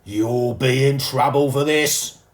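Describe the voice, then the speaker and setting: A man's voice: mid-range voice, fairly even intonation, slow pace, reverberant, voice pushed up, natural voice. A man voicing a fierce, angry grown man who roars stern orders. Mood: furious. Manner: roaring, stern.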